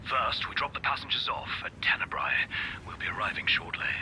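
Hushed, composed royal adviser. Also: male voice, mid-range voice, whispered, rough recording. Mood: calm, grave. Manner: measured, formal, precise. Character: calm, formal, pragmatic young male royal adviser. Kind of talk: English video game voice acting.

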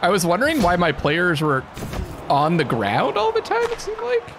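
A man's voice: sits high, moderate pace, background noise. A Canadian man commentating as he plays a hockey video game, thinking aloud and yelping at the plays. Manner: excitable, thinks aloud, laughs and yelps at plays. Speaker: gaming channel host. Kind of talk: let's play commentary. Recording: game music playing behind.

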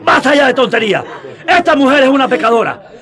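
Outraged pious accuser: very high, shrill, ranting radio drama delivery. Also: male voice, big pitch swings, quick pace, fairly bright tone, full character voice. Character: outraged self-righteous religious accuser.

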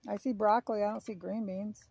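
A woman behind the camera in a backyard, casually coaching and gently teasing children through a pretend game. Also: medium pitch, steady tone, fairly quick, vocal fry, a little hiss or hum. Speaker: parent filming children at play. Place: backyard, outdoors. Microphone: handheld camera, speaker behind it.

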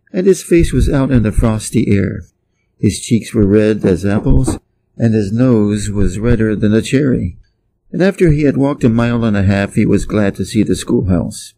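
A man from Wisconsin with an American Midwest accent, reading a children's story aloud slowly and clearly for English learners. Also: lowish voice, warm tone, a little hiss or hum, poor audio. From Wisconsin, USA. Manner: careful, evenly paced read-aloud. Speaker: audiobook reader for English learners.

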